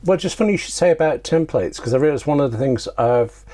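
A man's voice: a little high, animated, fast, warm tone. A man talking casually as an interview guest, telling anecdotes in a wry, self-deprecating way with rambling asides. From Britain.

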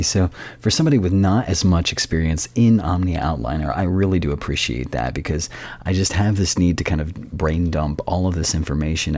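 A man's voice: a bass voice, creaky, a little mellow. A man hosting a podcast interview in a conversational, appreciative way, feeling for his words as he goes.

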